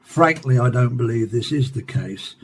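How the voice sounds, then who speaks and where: An actor playing a measured scientist, explaining an alien invasion formally in a radio drama. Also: male voice, mid-range voice, expressive, slight background noise, natural voice. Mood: grave, measured. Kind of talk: radio drama acting as a studio interview guest.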